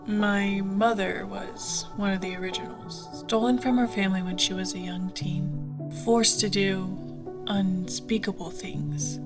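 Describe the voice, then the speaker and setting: An actress playing a candid, wry young woman pilot with a rough past, speaking colloquially in an audio drama. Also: medium pitch. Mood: guarded, bitter. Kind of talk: conversational audio drama acting.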